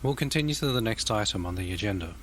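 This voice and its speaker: An actor playing a kind, formal old-school gentleman who chairs a meeting politely in an audio drama. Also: lowish voice, animated, quick pace, slight background noise. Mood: genial, formal.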